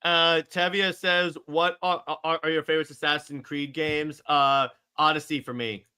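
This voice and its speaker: Male co-host, high and crisp, agreeable, casual fan talk with repeated phrases for emphasis. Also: little pitch movement, normal speed, sharp tone. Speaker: co-host fielding audience questions.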